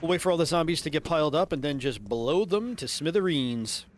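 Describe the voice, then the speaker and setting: A man giving casual, instructive walkthrough commentary on a video game, his voice congested and raspy from a head cold. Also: fairly high pitch, expressive, a little fast. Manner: casual, instructive, mildly profane. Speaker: gameplay commentator.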